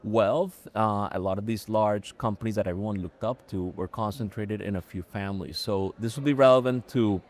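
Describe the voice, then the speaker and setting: Measured low-voiced man from Colombia. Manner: measured, thoughtful, with frequent "uh" and restarts. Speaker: company chief executive, podcast guest. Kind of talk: conversational interview answers. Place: live event recording on location.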